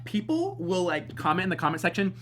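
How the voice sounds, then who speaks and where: A man's voice: sits high, sing-song, fairly quick, fairly bright tone. A man co-hosting a TV recap show, retelling acts at length with lots of fillers. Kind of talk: casual panel chat.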